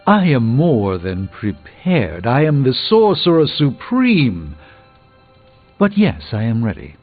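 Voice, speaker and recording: A man's voice: medium pitch, wildly swooping pitch, slow, narrow, tinny audio, faint music behind, heavily acted. A man voicing an erudite, self-assured middle-aged sorcerer in a video game, speaking in precise, wordy, pompous phrases. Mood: brisk, lecturing.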